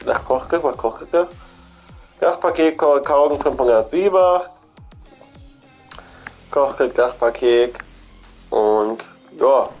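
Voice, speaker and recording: A man's voice: medium pitch, slow, pauses often, a little mellow, soft background music. A man with a cold, chatting casually as he walks through an in-game shop, repeating himself and thinking aloud. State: has a cold. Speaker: gaming channel host.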